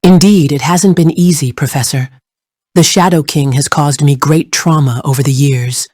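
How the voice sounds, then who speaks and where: A woman's voice: low voice, moderate pace, a little mellow, dry recording, clean studio sound. A woman playing a proud, determined superheroine in a video game, speaking formally. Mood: resolute. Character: proud, resolute adult superheroine. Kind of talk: scripted video game character acting.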